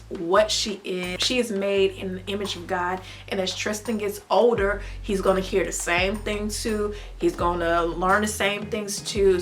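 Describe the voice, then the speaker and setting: A young woman from the United States talking casually to her viewers as a mother, earnest and faith-filled, with playful animated bursts. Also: medium pitch. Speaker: vlogger and mom. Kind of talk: casual talk to camera.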